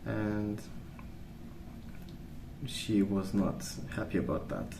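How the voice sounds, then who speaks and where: A man speaking softly in a calm, gentle, unhurried way, rambling in a casual ASMR storytelling style. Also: lowish voice. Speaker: ASMR video creator. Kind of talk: soft-spoken ASMR rambling. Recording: roomy sound with clear background noise.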